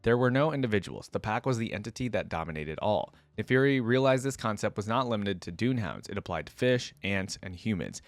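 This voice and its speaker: A man's voice: on the low side, lively intonation, fairly quick, few pauses, no echo. A man hosting reaction videos, moving between casual commentary and reading passages aloud. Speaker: YouTube reaction host.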